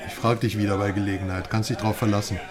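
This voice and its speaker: An actor playing a blunt, probing drinking buddy with an idealistic streak, talking colloquially in a radio crime drama. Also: male voice, lowish voice, little pitch movement, fast, close to an everyday voice. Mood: teasing, curious. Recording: busy pub chatter behind.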